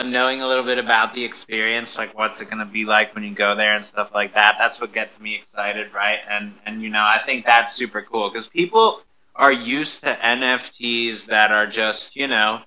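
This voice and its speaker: A man's voice: on the low side, steady tone, moderate pace, pushed and bright, low-quality recording. An American man hosting a casual interview, chatting informally as he asks and explains. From United States. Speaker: host.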